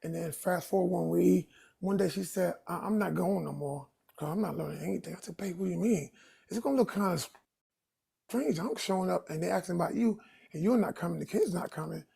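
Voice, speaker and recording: Raspy-voiced man in his sixties from Harlem. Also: high-pitched, a little fast, warm tone. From Harlem, New York. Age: 60s. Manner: rambling, earnest, frequent restarts and "you know". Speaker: caller on a live stream. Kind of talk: personal story told on a call-in stream.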